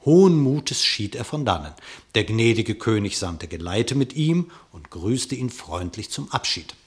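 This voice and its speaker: Low-voiced man narrating a verse fable. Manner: measured, expressive storytelling. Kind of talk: scripted narration of verse epic.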